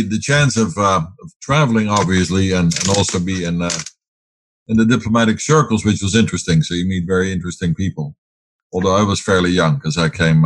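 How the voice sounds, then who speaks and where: A low-voiced, middle-aged man who grew up speaking Dutch talks through his answers, full of ums and false starts. His voice is husky and deep, recorded close and dry. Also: dry recording, poor audio. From Netherlands. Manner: rambling with fillers and restarts. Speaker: guest, business owner. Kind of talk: casual interview answers.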